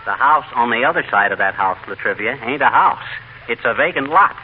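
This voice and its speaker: An actor playing a folksy, vain American husband, eager and slangy, in 1940s radio comedy style. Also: male voice, medium pitch, lively intonation, brisk, close to an everyday voice. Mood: wry. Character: folksy, vain, bragging middle-aged husband with new mustache. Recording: dull, noisy 1940s radio recording.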